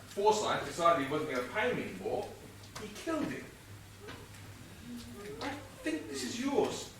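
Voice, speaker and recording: An actor playing a stern, self-assured police inspector in a stage whodunit, speaking in a deliberate, declamatory way. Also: male voice, high-pitched, fairly bright tone. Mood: measured, knowing. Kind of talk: amateur one-act stage play acting. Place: indoor theatre stage before an audience. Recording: echoey theatre stage from far, faint noise.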